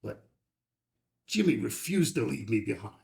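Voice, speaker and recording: A man's voice: medium pitch, animated, measured pace, vocal fry, darkish tone. A man playing an ageing, grieving veteran father with a wry, reflective manner in a dramatic script read. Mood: solemn, reflective.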